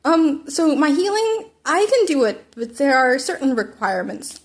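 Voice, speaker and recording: A woman's voice: sits high, big pitch swings, normal speed, voice pushed up. A woman voicing an awkward, blithely reckless teenage schoolboy who is nonchalant and eager, in a story reading. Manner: nonchalant, eager, matter-of-fact about danger. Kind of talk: character dialogue in a fan fiction reading.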